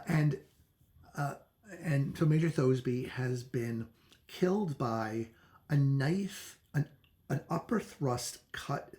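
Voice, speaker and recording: Gravelly-voiced man casually reviewing a book. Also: mid-range voice, a little mellow. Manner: halting, self-correcting, conversational.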